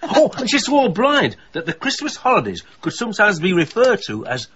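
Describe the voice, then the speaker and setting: Homely older widower, swooping pitch. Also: male voice, wildly swooping pitch, normal speed, a bit bright, dull audio, slight background noise, full character voice. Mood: friendly, chatty. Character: mild, chatty older widower, bereaved and homely. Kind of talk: radio drama acting.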